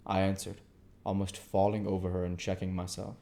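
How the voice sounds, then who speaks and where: A man's voice: sits low, monotone, brisk, vocal fry, dark tone. A man giving an amateur audiobook narration of a classic novel as the reader and host.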